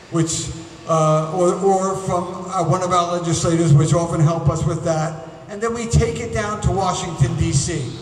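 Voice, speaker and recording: A man emceeing a ceremony, reading biographies aloud at a podium in a formal, measured way with occasional hesitations. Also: high voice, a little hiss or hum. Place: large museum hall. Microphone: podium microphone. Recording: echoey hall, voice from far off.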